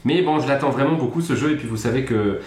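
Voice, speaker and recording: A man's voice: medium pitch, fast talker, a crowd in the background. Chatty man in an echoey room. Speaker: podcast co-host. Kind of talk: casual chat about board games.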